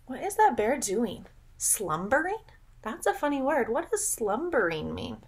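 A young woman reading a picture book aloud to children in an expressive, dramatic way, pausing to ask the listener questions. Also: high-pitched, breathy edge. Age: young adult. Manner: dramatic, drawn-out delivery with gasps and prompting questions. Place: small room at home, indoors.

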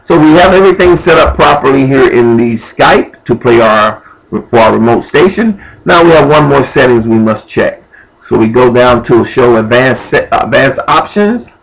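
A man with a medium-pitched voice presents an amateur computer tutorial. He talks informally and without a script, instructing step by step and thinking aloud as he works through the screens. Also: expressive, moderate pace, rough recording. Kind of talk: unscripted screen-recorded software walkthrough. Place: indoors at a computer.

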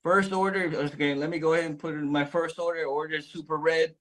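A man around forty from the Philippines speaks into a close phone camera in a small indoor room. His voice sits a little high for a man, and he tells his story with eager, earnest energy, stumbling and restarting as he goes. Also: steady tone, quick pace, rough recording. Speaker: network marketing member sharing his story. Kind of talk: personal testimonial to camera. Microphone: phone camera at close range.

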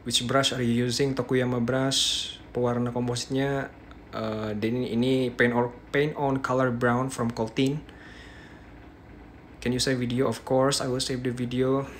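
A man's voice: mid-range voice, little pitch movement, a little hiss or hum. A young man with an Indonesian accent teaches a live dental demo from a small bedroom, a phone held close. His throat is a little sore, and he talks in restarts, tagging lines with "yeah". Faint room ambience sits behind him. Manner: halting, frequent "yeah" tags and restarts. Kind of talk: live-stream teaching, reading viewer questions. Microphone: phone held close at arm's length.

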